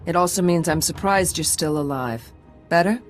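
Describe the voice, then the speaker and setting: A stern warrior woman from a space video game, played by an actress, speaking in a fairly low register. She is terse and commanding with a grim edge. Music sits underneath. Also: normal speed, lightly acted. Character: stern, curt female Mandalorian warrior.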